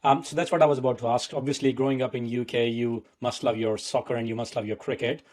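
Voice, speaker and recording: A man's voice: medium pitch, fairly even intonation, fast, darkish tone. A man from India, hosting a podcast and asking friendly, chatty questions. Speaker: podcast host. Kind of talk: casual podcast interview.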